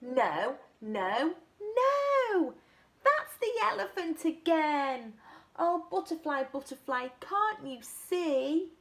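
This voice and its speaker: A woman voicing an anxious little monkey searching for its mum, reading rhymes in a sing-song way with high cries of protest. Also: very animated, slow, fairly bright tone, exaggerated voice. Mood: fretful. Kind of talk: storybook read-aloud.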